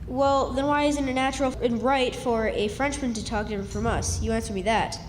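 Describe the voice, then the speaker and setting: Lady doing a plucky country boy, folksy know it all, highish voice, radio drama w echo. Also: steady tone, brisk, a little hiss or hum, natural voice. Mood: arguing. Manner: folksy, backwoods boyish delivery. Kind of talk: stage radio drama acting. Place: live stage in a hall. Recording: echoey hall, music behind.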